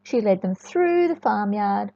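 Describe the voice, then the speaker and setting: A woman reading a storybook to young children in an expressive, sing-song way, often chatting and asking the listener questions. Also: medium pitch, measured pace, creaky. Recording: echoey room, dull lo-fi sound.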